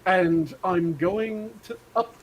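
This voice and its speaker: A man's voice: high voice, slow pace, voice pushed up. A man reading a storybook, voicing the tiniest young billy goat in a small, timid, pleading voice.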